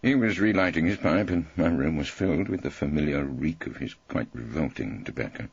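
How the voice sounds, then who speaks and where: Deep, gravelly male audiobook narrator. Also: a little fast, dry recording, highs cut off. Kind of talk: wry first-person audiobook narration.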